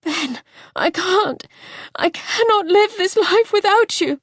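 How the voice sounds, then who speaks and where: A woman's voice: a little high, big pitch swings, a little slow, a little mellow, no room sound, clean studio sound, voice pushed up. A woman voicing a passionate, fiery young wife who speaks in a raised, urgent voice. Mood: anguished.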